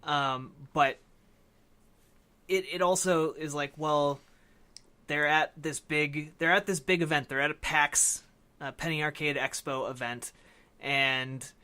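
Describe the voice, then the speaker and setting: A man's voice: on the high side, pushed and bright. A man co-hosting a podcast, discussing film casually and thinking aloud as he explains. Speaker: podcast co-host.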